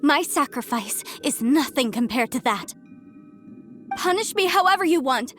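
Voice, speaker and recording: Extremely high young female voice for a game's devout believer: earnest, emphatic and dramatic, light music underneath. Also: expressive, moderate pace, fairly bright tone. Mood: defiant, resolute. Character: earnest young female zealot, devoted to her god. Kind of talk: English video game voice acting.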